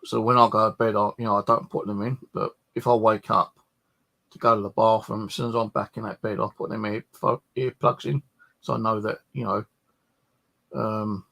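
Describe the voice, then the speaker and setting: Guy rambling casually, husky and croaky voice, grumbly and sweary. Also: lowish voice, fairly even intonation, moderate pace, fairly bright tone. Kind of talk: casual rambling personal chat.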